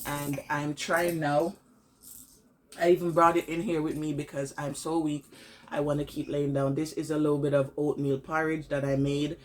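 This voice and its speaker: A young woman with a cold, congested and tired, chatting to her camera at home in a casual vlog update, halting with lots of fillers. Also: level tone, darkish tone. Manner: low-energy, tired, halting with many fillers. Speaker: vlogger.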